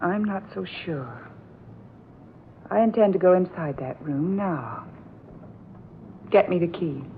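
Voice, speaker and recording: An actress playing a distraught, headstrong young niece with earnest, dramatic delivery in an old radio melodrama. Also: female voice, on the low side, animated, takes it slowly, pauses often. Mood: insistent. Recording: muffled old radio recording, faint hiss.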